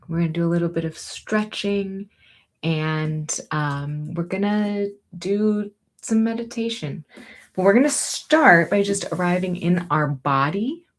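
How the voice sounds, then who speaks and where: A woman teaching yoga and breathing, giving gentle, soothing, unhurried encouragement as she guides each movement. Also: lowish voice.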